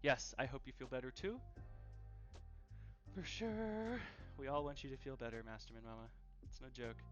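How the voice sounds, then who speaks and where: A man with a mid-pitched voice chats casually as he hosts a cooking stream from his home kitchen. He is easygoing and tends to repeat himself for emphasis. The sound is dull and lo-fi, with music clearly playing along. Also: normal speed. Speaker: host of a live cooking stream. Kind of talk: casual live-stream chat while cooking. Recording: dull, lo-fi livestream audio.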